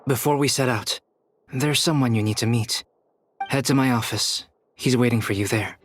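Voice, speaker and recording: A man voicing a calm, polite young scholar in an anime game, measured, understated and quietly confident. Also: medium pitch, soft background music, natural voice. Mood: composed.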